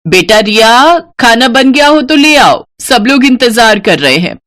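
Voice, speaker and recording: A woman's voice: a little high, animated, measured pace, a bit bright, no room sound, studio quality. A woman voicing a practical older mother in a story, instructing firmly with motherly care. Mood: firm, polite. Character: older mother and mother-in-law, practical, nagging but caring.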